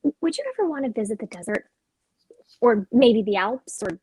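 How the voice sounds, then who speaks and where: A woman's voice: fairly high pitch, lively intonation, normal speed, a little mellow, breathy edge, low-quality recording. A woman acting a restless, searching female character who longs for change, in a dramatic script reading.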